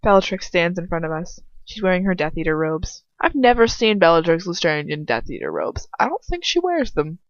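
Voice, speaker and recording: A woman's voice: fairly low pitch, sing-song, brisk, hardly any pauses, no echo. Woman reading a story, dull lo-fi sound. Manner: informal, stumbles and corrects herself. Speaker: amateur fan fiction reader. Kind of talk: audiobook-style story reading with casual asides.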